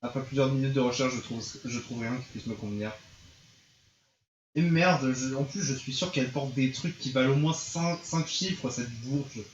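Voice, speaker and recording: A man's voice: medium pitch, moderate pace, a little hiss or hum. A man reading game dialogue and narration aloud as a streamer, with a theatrical manner and occasional stumbles over the lines. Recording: muffled, roomy, faint music behind.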